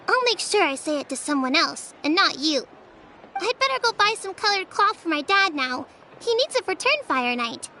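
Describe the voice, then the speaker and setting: A high-voiced sulky child. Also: female voice, pushed and bright, slight background noise, full character voice. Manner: childlike, earnest. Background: game music.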